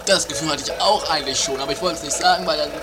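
A performer playing an excitable, indignant driver with theatrical exclamations in an improvised comedy radio play. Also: medium pitch, racing, loud music behind the voice, close to an everyday voice. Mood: indignant, insistent.

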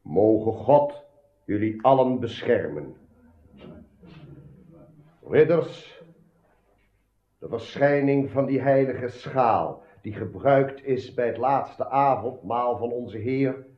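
An actor playing a stately, grave king in a radio drama, regal, measured and declamatory. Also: mid-range voice, lively intonation. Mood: solemn. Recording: echoey, dull old radio recording.

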